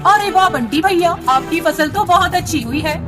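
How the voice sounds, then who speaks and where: A woman's voice: very high voice, moderate pace, music in the background. A woman playing a chatty, familiar auntie bird in a children's cartoon. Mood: chatty, helpful. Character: chatty adult female bird, neighbourly auntie type.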